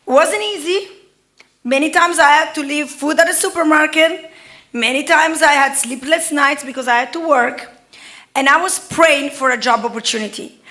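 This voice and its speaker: A woman in her forties with an Italian accent tells her testimony at a church service. Her high, bright voice carries a lively storyteller's manner, and she laughs often as she talks. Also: moderate pace. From Italy. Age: 40s. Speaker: guest preacher. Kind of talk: personal testimony sermon.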